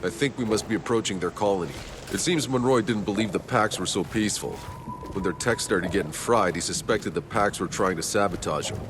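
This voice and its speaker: An actor playing a grave, matter-of-fact soldier in a video game cutscene. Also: male voice, fairly low pitch, a little fast, noisy background, close to an everyday voice. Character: gruff soldier reporting grim discoveries to companions.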